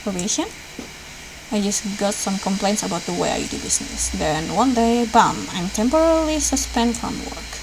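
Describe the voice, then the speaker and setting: A woman's voice: mid-range voice, lively intonation, moderate pace, gravelly, noisy background. A woman reading visual novel dialogue aloud as a let's player, lightly acting out the lines. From Indonesia. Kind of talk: read-aloud game dialogue, acted lightly.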